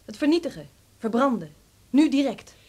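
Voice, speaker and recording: A woman's voice: sits high, big pitch swings, moderate pace, mellow. An actress playing a reserved, guarded young woman in a Dutch radio drama, speaking reticently. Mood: cool, guarded.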